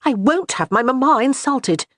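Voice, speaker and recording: A woman voicing a flustered young noble wife, rattled and bossy, in a husky voice. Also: lively intonation, moderate pace, dry recording, clean studio sound. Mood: agitated. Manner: rattled, bossy, high-strung.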